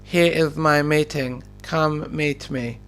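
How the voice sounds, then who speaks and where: A man voicing a storybook adventurer who reads out riddles in a slow, deliberate, theatrical way. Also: a little high, steady tone, a bit bright, clear, resonant tone, soft background music, pitched above the performer's own voice. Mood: theatrical, pondering. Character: Sir Pixel, male adventurer reading riddles slowly and theatrically.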